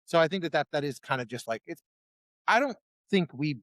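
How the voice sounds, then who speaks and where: Male musician from Ohio, slightly high, dry close sound, casual rambling storyteller. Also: brisk, no room sound. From Ohio, USA. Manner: rambling, many restarts and fillers, animated when quoting himself. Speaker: musician guest. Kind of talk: casual conversation.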